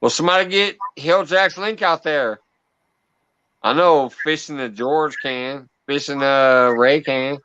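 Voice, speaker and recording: A man's voice: a little high, unhurried, sharp tone, a little hiss or hum. A man hosting a casual livestream, laughing and coaxing guests to join the call. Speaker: livestream host. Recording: dull, lo-fi stream audio.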